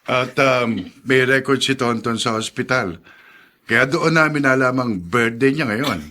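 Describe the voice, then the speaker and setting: A man's voice: mid-range voice, unhurried, pushed and bright. A male actor playing a friendly, polite visitor in a radio drama, on a slightly noisy recording with clicks. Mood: explaining. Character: friendly, polite gift-bearing adult visitor.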